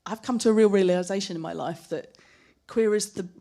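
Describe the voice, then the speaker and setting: A woman speaking as a panelist at a live discussion, thoughtful and personal, measured in how she talks. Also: medium pitch, gravelly, dark tone. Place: gallery event space.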